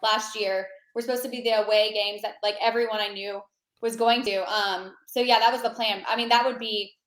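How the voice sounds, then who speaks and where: A woman's voice: mid-range voice, steady tone, a little fast, fairly bright tone, reverberant, poor audio. A woman guest chatting casually on a podcast, rambling with fillers and restarts.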